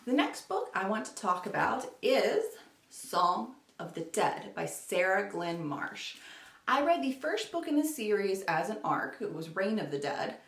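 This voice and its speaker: A woman's voice: mid-range voice, big pitch swings, measured pace, gravelly, a bit bright. A woman chatting informally and self-deprecatingly to camera as a book vlogger. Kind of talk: casual chat to camera. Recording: roomy echo, distant microphone.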